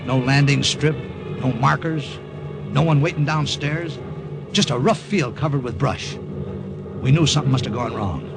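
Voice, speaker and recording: An actor playing a cocky, chatty American wartime agent in 1950s radio drama, breezy and joking. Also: male voice, mid-range voice, moderate pace, natural voice. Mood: tense narrating. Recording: old radio recording with music behind.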